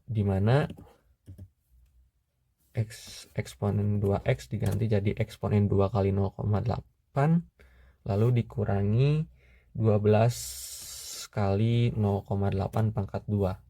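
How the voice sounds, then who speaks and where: A man's voice: fairly low pitch, gravelly. A young man narrating a screen-recorded math tutorial, talking through steps methodically with frequent "eh" fillers. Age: young adult. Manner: steady, methodical, pauses with "eh" fillers. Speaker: student tutor.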